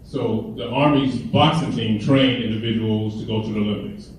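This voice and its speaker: A man's voice: lowish voice, steady tone, normal speed. Man telling stories in an echoey hall. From Chicago. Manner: storytelling, humorous, conversational. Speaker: keynote speaker. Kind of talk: motivational speech. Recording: echoey hall, mic far off, faint hiss.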